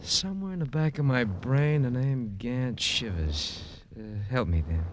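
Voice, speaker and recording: Man acting a slick American gangster, mock-innocent, theatrical, very animated pitch, noisy old radio recording. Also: measured pace, lightly acted. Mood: feigned innocence. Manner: theatrical, mocking, mock-innocent wordy talk. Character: smug, slick hoodlum turned respectable businessman. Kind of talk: 1950s American radio crime drama acting.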